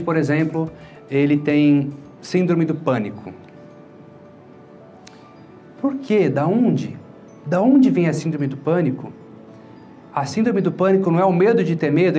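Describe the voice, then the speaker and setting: A Brazilian man with a slightly high voice explains a method as an instructor would. He is didactic, posing questions and then answering them himself. Music plays faintly behind him. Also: warm tone. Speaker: hypnotherapist and instructor. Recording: music playing under the voice. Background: background music.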